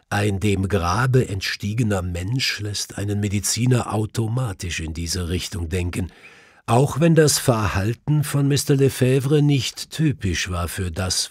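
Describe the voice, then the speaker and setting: Husky, low-voiced male audiobook reader. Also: no room sound, clean studio sound. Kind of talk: scripted dramatic narration of a mystery. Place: dry studio.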